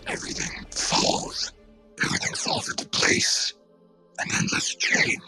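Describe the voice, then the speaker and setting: A man voicing a cold, obsessive robot villain in a fan dub, halting and ominous like a chant. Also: bright, forceful tone, soft background music. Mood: prophetic, cold. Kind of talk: scripted fan dub of a comic.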